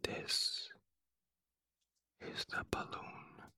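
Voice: very slow, no echo, clean studio sound, exaggerated voice. A reader whispering the lines of a gloomy, mournful old donkey in a storybook. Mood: glum whisper. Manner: soft whisper.

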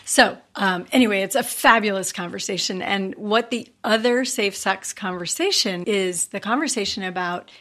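A woman's voice: mid-range voice, moderate pace, hardly any pauses, a bit bright. A woman co-hosting a podcast in casual banter, talking in a giggly, flustered way. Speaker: podcast co-host.

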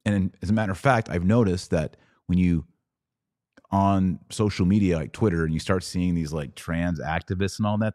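A man's voice: deep voice, mellow, dry recording, studio quality. A middle-aged man hosting a podcast, delivering religious commentary in an emphatic, preachy yet conversational way. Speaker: podcast host.

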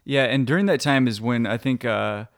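A man's voice: medium pitch, normal speed, no echo, clean studio sound. A man co-hosting a movie podcast, chatting casually and agreeing often while adding details. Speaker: podcast co-host. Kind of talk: casual movie recap chat.

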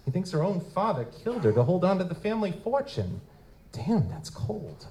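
A man's voice: high voice, very animated, normal speed, a little mellow, a little hiss or hum. A man playing a streetwise, cheeky lutin goblin private investigator, narrating and talking in a casual, wisecracking noir style for an audio drama. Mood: curious, casual. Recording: music behind, live stage performance.

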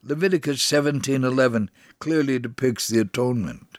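A man playing a devout Holocaust survivor in a dramatic memoir reading, telling his story in an earnest, measured way. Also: medium pitch, no room sound, studio quality, natural voice. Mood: sincere, reflective. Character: devout male Holocaust survivor recalling his life, sincere.

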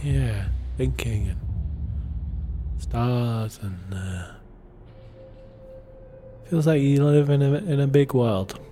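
A man playing an agreeable, slightly awkward date in an improvised audio drama, speaking haltingly and tentatively. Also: mid-range voice, warm tone, slight background noise, natural voice. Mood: thoughtful. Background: music.

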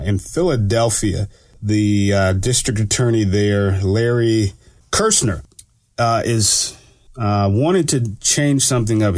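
A man hosting his own radio talk show, sounding a bit stuffy from allergies and talking in a relaxed, rambling way with lots of fillers. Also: fairly low pitch, dry recording. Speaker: radio show host and law enforcement analyst. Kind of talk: casual solo radio talk.

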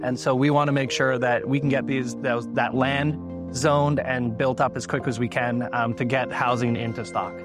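A man in real estate speaking on a conference panel, conversational, explaining with quick examples and tag questions. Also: medium pitch, fairly even intonation, over loud music. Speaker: realtor on a panel. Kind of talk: panel discussion answer.

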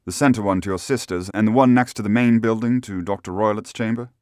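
Man acting gentleman detective, shrewd, authoritative, brisk, courteous, storybook reading, dry sound. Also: on the low side, no echo, pitched above the performer's own voice, close to an everyday voice. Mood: brisk, probing.

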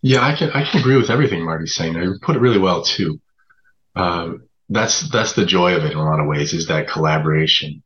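A man with an extremely deep voice reflects casually as a guest writer. He is measured and a little hesitant, thinking aloud. The recording is somewhat dull and lo-fi. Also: animated, fairly bright tone, a little hiss or hum.

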